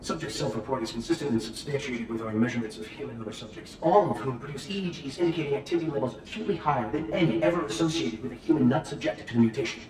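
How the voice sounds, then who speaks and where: An actor playing a detached researcher formally dictating an experiment log in a video game. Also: male voice, medium pitch, racing, few pauses, a little mellow, natural voice. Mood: clinical. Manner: clinical, formal. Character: clinical, detached male researcher logging an experiment. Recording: echoey, distant, noisy archive recording.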